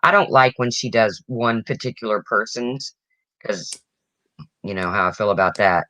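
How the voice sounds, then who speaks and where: Raspy woman, deep voice, blunt chatty talk, bright edge, dry dull recording. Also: moderate pace, no echo. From US Midwest. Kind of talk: casual chat.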